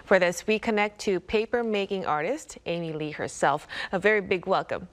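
A woman presents and interviews as a broadcast host. Her delivery is polished and formal, and her voice sits at a medium pitch. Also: normal speed.

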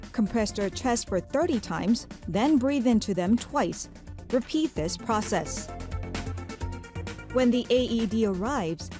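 A woman reading scripted first-aid instructions in a calm, instructive way. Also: fairly high pitch. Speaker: narrator of a safety announcement. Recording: music behind the voice.

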